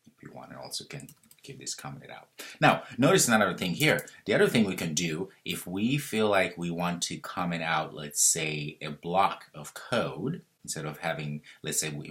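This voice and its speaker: A man's voice: extremely low pitch, normal speed, a bit bright. A man in his thirties teaching programming over a screen recording, explaining step by step, thinking aloud and correcting himself as he goes. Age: 30s. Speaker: programming instructor. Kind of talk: screen-recorded coding tutorial.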